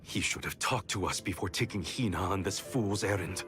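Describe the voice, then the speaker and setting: A man voicing a calm, level-headed young adventurer in a video game, speaking in a measured, reasoning way. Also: sits low, over music, close to an everyday voice. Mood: resigned, calm. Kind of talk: video game cutscene acting.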